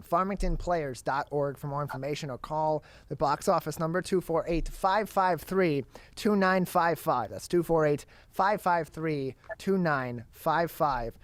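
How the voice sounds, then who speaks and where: Higher-pitched man hosting a broadcast interview. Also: no echo. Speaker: interview host. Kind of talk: broadcast interview, announcing details.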